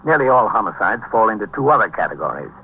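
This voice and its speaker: An actor playing a level-headed, authoritative police captain in a 1950s American radio drama, businesslike, dry and unemotional. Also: male voice, on the low side, sing-song, fast talker, gravelly, slightly rough, exaggerated voice. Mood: sober. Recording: dull, hissy 1950s radio recording.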